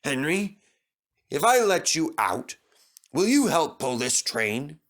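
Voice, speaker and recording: A man's voice: fairly high pitch, very animated, slow, a bit bright, heavily acted. A man playing a stern, authoritative railway boss in a storybook, giving formal orders and requests. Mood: commanding.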